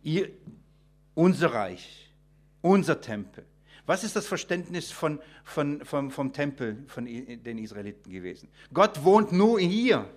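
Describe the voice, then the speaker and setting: A male preacher with a slightly high voice delivers an unscripted expository sermon. He drives points home with repeated phrases and rhetorical questions, often breaking off and restarting a sentence as he goes. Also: very animated, a little slow. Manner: emphatic, with rhetorical questions and repetition.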